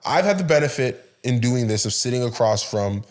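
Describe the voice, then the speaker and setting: A Canadian-born man hosts a podcast with quick, energetic speech. He talks in a lively, friendly way and affirms his guest often. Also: mid-range voice. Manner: upbeat and talkative, quick to affirm with mm-hmm. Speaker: podcast host. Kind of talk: podcast interview hosting.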